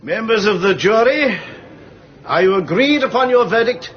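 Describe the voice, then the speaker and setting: High-pitched, stern judge. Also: male voice, lively intonation, highs cut off, slight background noise. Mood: stern, formal. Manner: formal, deliberate, declaiming. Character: stern, formal English court judge. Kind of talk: radio drama acting.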